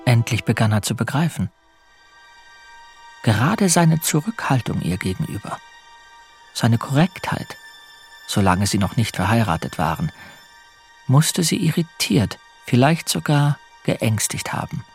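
Restrained, brooding husband over music. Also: male voice, medium pitch, sing-song, measured pace, long pauses. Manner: restrained, polite, subdued. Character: gentle, ashamed, resigned middle-aged husband, brooding inwardly. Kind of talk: German radio drama, introspective narration and dialogue. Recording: music behind the voice.

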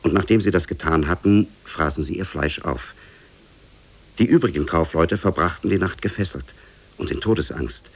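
A man narrating an Oriental fairy tale in a measured way, as in a 1950s German radio play. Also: low voice, creaky, slightly rough. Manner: measured, storytelling.

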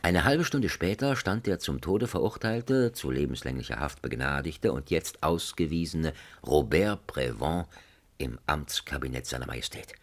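A man's voice: very deep voice, moderate pace. A man narrating a radio drama in a dramatic storytelling style.

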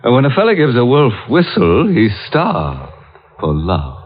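A man's voice: medium pitch, huge pitch swings, unhurried. A man hosting a scripted 1940s radio horror show, sardonic, theatrical and mock-sinister. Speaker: host. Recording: tinny old radio recording. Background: music.

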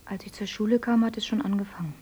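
An actress playing a young woman on a farm, retelling events in a hushed voice and softly musing to herself. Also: mid-range voice, steady tone, quick pace, breathy edge, close to an everyday voice. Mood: hushed report. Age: young adult. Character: clever young woman, the miller's adopted daughter.

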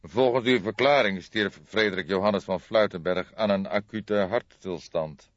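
A man's voice: on the low side, dry recording, muffled recording, close to an everyday voice. Man playing an insistent, formal old detective. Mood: probing. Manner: deliberate, formal, spelling things out carefully. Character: old male police detective, courteous, insistent, restrained impatience.

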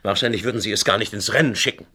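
A man acting in a radio drama as a proud, debt-ridden gentleman who confesses in a formal, grave, explanatory manner. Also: fairly low pitch, very fast, husky. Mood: agitated, defensive. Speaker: actor in a radio play.

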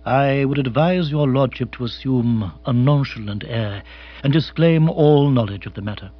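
A male reader puts on a calm English manservant from a comic storybook. He is deferential and exact, with a deadpan formality and learned wording, in a middle-pitched voice that is slightly husky. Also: no echo, lo-fi sound, lightly acted. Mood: unruffled, deferential. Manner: formal, measured, deadpan, erudite. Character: imperturbable, deferential English manservant, dry and precise.

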